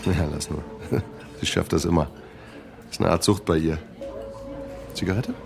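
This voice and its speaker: An extremely deep, gravelly cynical man in a radio play. He needles drily and provokes, with music clearly behind him. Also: normal speed. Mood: sardonic. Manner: dry, sardonic, provoking. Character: cynical, needling boyfriend of an actress. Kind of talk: radio drama acting.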